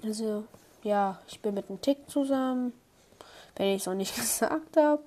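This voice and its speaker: A young woman commenting on her own online match, excitable, swinging from low muttering to high squeals. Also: moderate pace. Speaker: gamer streamer. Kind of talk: live gameplay commentary.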